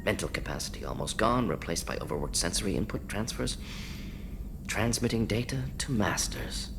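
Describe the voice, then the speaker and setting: Clipped, restless alien scientist. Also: male voice, lowish voice, expressive, gravelly, noisy background. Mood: grave, emphatic. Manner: clipped telegraphic phrasing, rapid-fire listing, earnest. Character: brisk, brilliant, restless male alien scientist. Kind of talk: video game dialogue.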